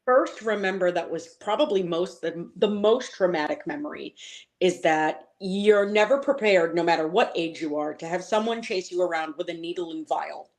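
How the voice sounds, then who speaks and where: A woman in her forties from Boston with a faint, mostly hidden Boston accent, telling her story as an interview guest, animated and full of fillers. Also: on the low side, moderate pace. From Boston, Massachusetts.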